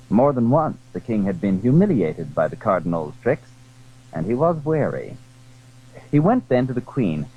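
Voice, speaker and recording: A man's voice: medium pitch, slightly rough. A man narrating a literary audio drama in a formal, dramatic storytelling style. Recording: muffled, narrow-band 1980s audio drama recording.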